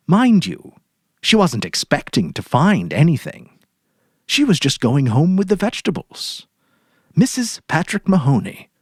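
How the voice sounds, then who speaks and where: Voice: mid-range voice, dry recording, studio quality. A narrator giving a dramatic reading of a story.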